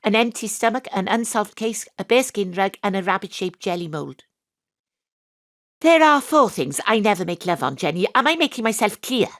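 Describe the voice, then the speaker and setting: A woman playing a brisk, tongue-in-cheek private detective with a Welsh accent, acting and narrating in a comic audio drama. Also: mid-range voice, expressive, fairly bright tone, dry recording, clean studio sound. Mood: brisk, flirty.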